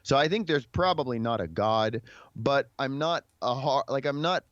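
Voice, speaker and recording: A man's voice: mid-range voice, expressive, moderate pace, fairly bright tone, no room sound, lo-fi sound. A man in his thirties from Texas talking informally and reflectively as an interview guest. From Dallas, Texas, United States. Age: 30s. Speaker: podcast guest. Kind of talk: conversational interview, telling personal history.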